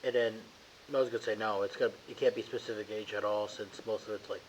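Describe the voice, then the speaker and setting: A man's voice: fairly low pitch, steady tone, fast talker. A man playing a tabletop game with friends, chatting in a blunt, informal, slangy way. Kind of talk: casual banter. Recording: muffled home recording with faint hiss.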